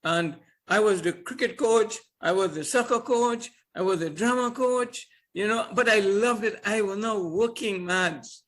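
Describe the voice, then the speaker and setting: Older man, podcast guest, high voice, animated storyteller who chuckles and repeats himself. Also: a little slow. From Trinidad and Tobago. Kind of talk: casual storytelling interview.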